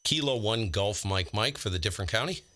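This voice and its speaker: A man's voice: fairly low pitch, lively intonation, brisk, pushed and bright. An American man live-streaming ham radio with casual, blunt, slangy commentary and radio calls. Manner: blunt, slangy, exasperated grumbling.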